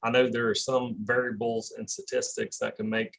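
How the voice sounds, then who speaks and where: A man's voice: mid-range voice, flat delivery, normal speed, creaky, fairly bright tone. A man serving as a commissioner, making an explanatory comment in a formal meeting.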